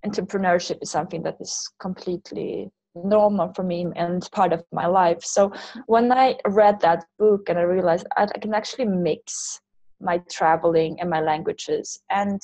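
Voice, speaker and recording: A woman's voice: on the low side, fairly even intonation, normal speed, darkish tone, no echo. Woman, closely recorded dry voice. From Sweden. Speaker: interview guest, online language teacher and entrepreneur. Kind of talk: casual interview conversation.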